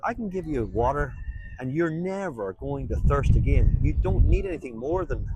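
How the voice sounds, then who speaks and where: A man's voice: on the high side, animated, normal speed, warm tone, muffled recording, a little hiss or hum. A man preaching to passers-by on a street, earnest and pressing them with questions. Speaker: street evangelist. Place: outdoors on a town street.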